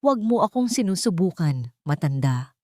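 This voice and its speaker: A woman voicing an arrogant, wealthy man who is forceful, demanding and impatient in a narrated audio drama. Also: on the low side, sing-song, normal speed, dry recording, studio quality. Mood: threatening.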